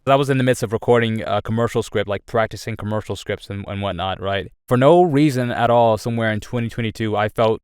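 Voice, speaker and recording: Earnest young man with a smooth low voice. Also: fast talker, hardly any pauses, a little mellow, no echo, studio quality. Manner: rambling, with frequent restarts; earnest and candid. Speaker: YouTuber. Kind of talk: reflective personal update.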